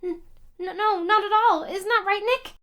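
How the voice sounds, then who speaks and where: A woman playing an excitable, innocent but cheeky teenage girl assistant in a video game fan dub. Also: very high voice, moderate pace. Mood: indignant, bubbly.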